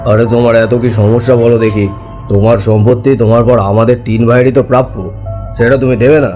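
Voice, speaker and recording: Bullying, greedy son acted by a man, deepened voice. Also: flat delivery, fast talker, warm tone, faint music behind, close to an everyday voice. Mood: impatient demand. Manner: aggressive, commanding. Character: greedy, bullying grown son, villainous and impatient.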